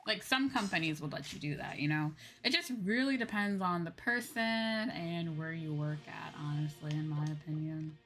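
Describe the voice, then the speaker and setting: A woman with a slightly low voice chats casually on a game stream. She muses aloud in drawn-out, sing-song asides. Game music plays clearly behind her. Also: expressive, a bit bright. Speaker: livestreamer.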